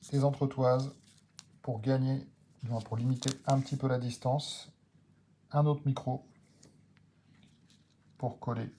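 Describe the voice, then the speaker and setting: Male product presenter, medium pitch, relaxed tone, methodical unboxing explanations, slight background noise. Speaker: motorcycle gear video creator.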